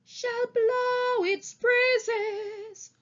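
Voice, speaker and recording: Casting: woman as old boar orator for a storybook, high voice, slow solemn emphatic delivery, dull lo-fi recording. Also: fairly even intonation, a bit bright, faint music behind, heavily acted. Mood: rousing, singing. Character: old male boar, solemn rousing orator to fellow animals. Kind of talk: audiobook character speech and song.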